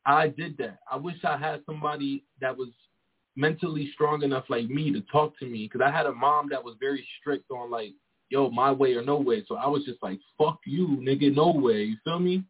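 A man's voice: mid-range voice, moderate pace, slightly rough. A man in his twenties talking casually and at length, often checking in with "you feel me". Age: 20s. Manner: rambling, frequent "you feel me". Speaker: guest. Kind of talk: casual chat. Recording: tinny phone-like sound.

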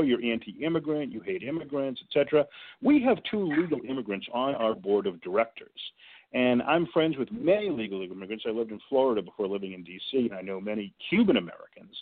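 A man calling in to a talk show as a guest and making his case emphatically, with sports comparisons. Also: mid-range voice. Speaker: guest advocacy director. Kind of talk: phone-in radio interview. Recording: tinny phone line.

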